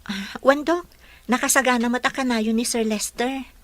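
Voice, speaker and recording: A woman's voice: a little high, normal speed, fairly bright tone, no room sound, close to an everyday voice. A woman playing a polite, curious caregiver. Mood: hopeful. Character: attentive young female caregiver. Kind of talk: Ilocano radio drama acting.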